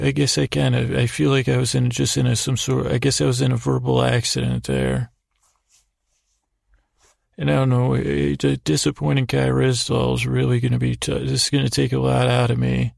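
A man hosting a podcast as a meandering, halting bedtime monologue, often trailing off and doubling back with self-deprecating, absurd humour. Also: mid-range voice, darkish tone, no echo, studio quality. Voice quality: creaky, gravelly. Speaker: podcast host.

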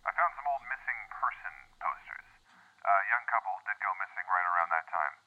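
A man playing a dry, teasing, practical police officer in an audio drama, measured and wry, heard through a telephone filter. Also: deep voice, expressive. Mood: matter-of-fact. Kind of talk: audio drama acting, naturalistic dialogue. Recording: band-limited phone call sound.

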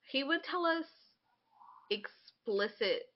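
A woman in her twenties with a highish, very expressive voice chats as a podcast co-host. She is candid and informal, telling anecdotes as if to a close friend. The audio is slightly dull and lo-fi. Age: 20s. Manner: candid, informal, swearing, quick to laugh. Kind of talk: casual chat with a friend, swapping anecdotes.

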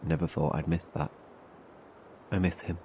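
A man playing a wistful, subdued survivor talking haltingly in a horror audio drama. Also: sits low, little pitch movement, dark tone, a little hiss or hum, lightly acted. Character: wistful man missing a lost friend, post-apocalyptic.

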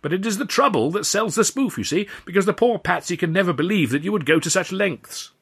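A man's voice: high-pitched, lively intonation, brisk, vocal fry, a bit bright, husky, pitched above the performer's own voice, heavily acted. A man voicing a boastful, theatrical old showman telling emphatic anecdotes in an audiobook. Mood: aggrieved, animated.

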